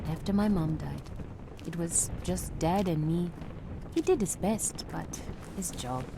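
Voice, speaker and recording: A woman's voice: lowish voice, measured pace, vocal fry, noisy background. An actress playing a plucky, anxious young woman on the run in an audio drama, urgent with wry asides. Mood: wry, reflective.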